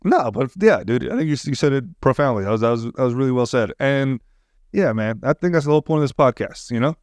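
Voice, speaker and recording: A man's voice: mid-range voice, lively intonation, quick pace, no room sound, studio quality. A man co-hosting a podcast in casual, slangy chat, with a laid-back and agreeable manner. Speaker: podcast co-host.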